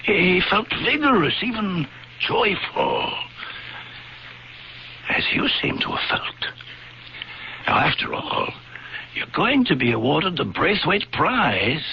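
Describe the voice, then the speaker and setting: An actor playing an earnest, gently coaxing friend who also narrates the tale, in old-time radio drama style. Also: male voice, sits high, huge pitch swings, slow pace, creaky, long pauses, full character voice. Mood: soothing. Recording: dull, faintly noisy lo-fi radio recording.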